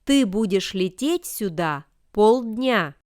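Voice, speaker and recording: A woman reading example sentences for language learners with careful, deliberate enunciation. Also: medium pitch, expressive, darkish tone, no room sound, studio quality. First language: Russian. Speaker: language lesson reader.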